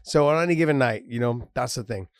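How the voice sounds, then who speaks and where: A man's voice: medium pitch, fast, no echo. Laid-back man with a dry studio sound. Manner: laid-back, laughs easily, drops "man" often. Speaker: podcast co-host, former fighter. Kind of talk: casual sports podcast chat.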